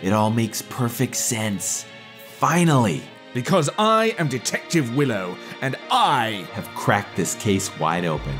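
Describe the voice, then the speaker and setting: An actor playing a feverishly obsessed self-appointed detective in an audio drama, declaiming theatrically. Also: male voice, mid-range voice, sing-song, unhurried. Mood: triumphant, then grandly determined. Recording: loud music under the voice.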